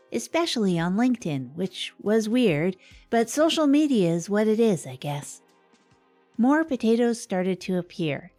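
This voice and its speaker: A woman plays a wry small-town radio host in a scripted comedy show. She rambles hesitantly through self-deprecating asides, her pitch lively, with music around her voice. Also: lightly acted.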